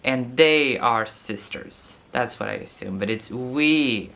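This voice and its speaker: A man's voice: medium pitch, creaky, sharp tone, slight background noise. A young man with a Swedish accent, a language teacher talking casually to camera and sounding out foreign words as he thinks aloud. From Sweden. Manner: slow and musing, sounds out foreign words tentatively. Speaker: language teacher and video host.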